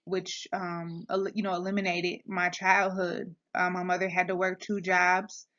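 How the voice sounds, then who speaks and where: A woman's voice: fairly low pitch, monotone, measured pace, a bit bright. A woman giving a class presentation, hesitant, with many filler sounds and restarts. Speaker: student presenter. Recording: dull lo-fi recording.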